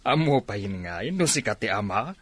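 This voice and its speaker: A man's voice: fairly low pitch, big pitch swings, moderate pace, slightly rough, dry recording. A man playing a middle-aged father in a radio drama, with a questioning manner.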